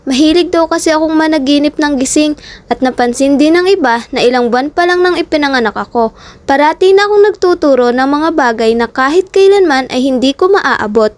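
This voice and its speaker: A woman narrating a children's story aloud in a steady, expressive way. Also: high voice, a little fast.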